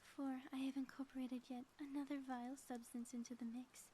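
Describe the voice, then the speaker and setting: A high, soft-toned villainess played by a woman gloats in grand, florid phrases, savoring her melodrama in an audio roleplay. Her mellow timbre keeps the menace playful and theatrical, over a faint background noise. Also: little pitch movement, brisk, close to an everyday voice. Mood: menacing, gloating. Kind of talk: scripted ASMR audio roleplay.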